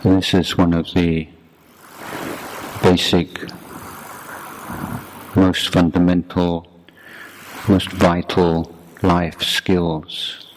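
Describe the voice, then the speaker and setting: A man guiding a meditation as a teacher, speaking in a measured, deliberate way in short phrases with long pauses. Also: low-pitched, level tone. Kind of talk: guided meditation talk. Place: youth retreat. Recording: clearly noisy background.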